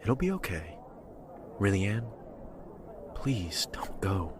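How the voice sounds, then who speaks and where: A man playing a tender, protective suitor in an audio drama, speaking softly and reassuringly. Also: on the low side, big pitch swings, takes it slowly, noisy background. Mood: gentle, pleading.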